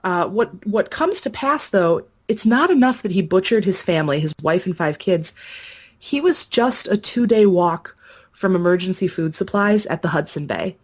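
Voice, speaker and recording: Low-pitched woman explaining history conversationally. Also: animated, moderate pace, husky. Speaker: podcast guest explaining folklore history.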